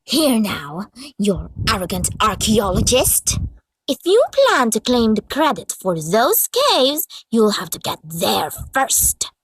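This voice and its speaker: A woman's voice: fairly high pitch, sing-song, slow, husky, heavily acted. A woman voicing a refined young female cartoon pony fashionista, gushing and theatrical in a comic dub. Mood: indignant. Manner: posh, gushing, melodramatic.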